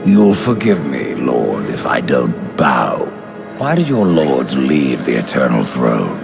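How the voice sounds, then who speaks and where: An actor playing a grim, sardonic undead horseman in a video game, terse and dry with a gravelly voice. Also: very deep voice, huge pitch swings, takes it slowly, heavily acted. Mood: defiant. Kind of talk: dramatic video game acting. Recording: music in the background.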